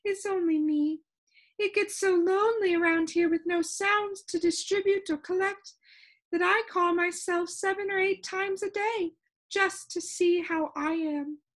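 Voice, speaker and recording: Woman voicing a high, gentle, dreamy lady of silence. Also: steady tone, unhurried. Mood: breezy, wistful. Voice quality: soft, airy, singsong. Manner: wistful, genteel, chatty. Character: gentle, dreamy, talkative woman soundkeeper who adores silence.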